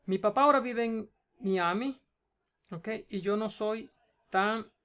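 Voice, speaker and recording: A Spanish teacher with a Cuban accent, patiently going through a student's homework and checking in often. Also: female voice, mid-range voice, slow pace, a bit bright. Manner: patient, explains step by step, checks in with "ok?".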